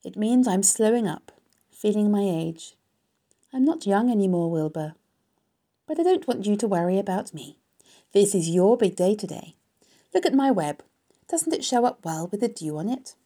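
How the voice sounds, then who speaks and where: A woman voicing a kindly, ageing female spider from a storybook, wise and protective, with a gentle but firm manner. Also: medium pitch, very animated, moderate pace, long pauses. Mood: tender, weary.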